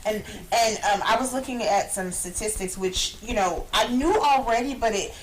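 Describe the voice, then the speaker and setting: A woman's voice: a little high, moderate pace, a little hiss or hum, rough recording. A middle-aged woman hosting a radio talk show, speaking earnestly and with emphasis in a small studio. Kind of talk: casual talk show chat. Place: small studio lounge, indoors.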